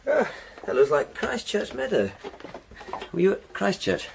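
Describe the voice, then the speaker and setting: A laid-back English poet and floor-layer, easygoing and chatty. His voice sits a touch high and moves lively up and down. Faint hiss lies under the recording. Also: measured pace, gravelly, full character voice. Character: relaxed hippyish male poet and floor-layer. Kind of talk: radio comedy drama.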